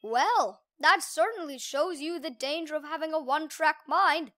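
A woman's voice: high voice, normal speed, fairly bright tone, dry recording, studio quality. A woman voicing a sly, wry talking cat who hands out advice in a children's storybook.

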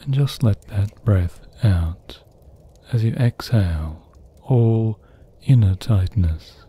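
A man narrating a guided sleep meditation in a soft, breathy, soothing voice, gently hushed, with long pauses between phrases. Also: on the low side, expressive, a little hiss or hum.

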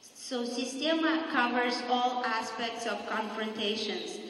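A woman giving calm, steady live commentary at a martial arts demonstration, with frequent pauses and ums. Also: a little high. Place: large gym hall. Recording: echoey hall, far from the mic.

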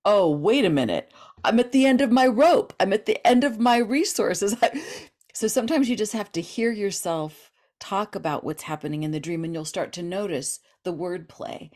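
A middle-aged woman talking casually as a dream expert on a video call, animated and gesturing as she explains. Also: mid-range voice, moderate pace. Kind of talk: casual interview chat.

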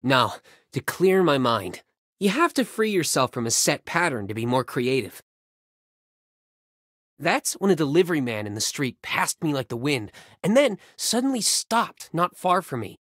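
Young male singer, playful, affectionate, boyish, animated intonation, romance game phone-call dialogue, dry recording. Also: a little high, moderate pace, dry recording. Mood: animated storytelling. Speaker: voice actor in a mobile romance game.

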